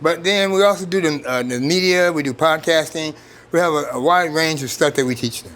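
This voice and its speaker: A man's voice: on the high side, fairly quick, fairly bright tone. A man speaking as a trainer in an informal workshop, plain-spoken and repeating phrases for emphasis. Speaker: trainer, program coordinator.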